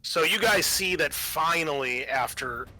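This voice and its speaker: A man's voice: on the high side, unhurried, pushed and bright, rough recording. A man acting as game master for a tabletop roleplaying game, explaining rules and narrating the action casually and with animation. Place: group game session.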